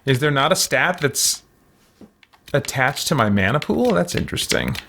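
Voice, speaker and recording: A man's voice: mid-range voice, very animated, moderate pace, creaky, pushed and bright, a little hiss or hum. A man giving casual game commentary, thinking aloud to himself in a musing, tentative way. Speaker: gamer hosting a let's play.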